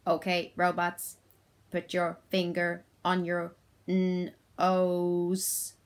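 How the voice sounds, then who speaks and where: Woman doing a slow, stilted commanding robot. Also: lowish voice, completely flat delivery. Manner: stilted, drawn-out, sounding out words in segments. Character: stiff robot giving children simple commands.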